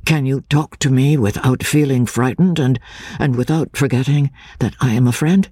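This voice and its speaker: A man playing an earnest gentleman in an audiobook, speaking gently and anxiously to reassure. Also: mid-range voice, moderate pace, slightly rough, no room sound, lightly acted. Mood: gentle soothing. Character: earnest gentleman gently soothing a frightened woman. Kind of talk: audiobook character acting.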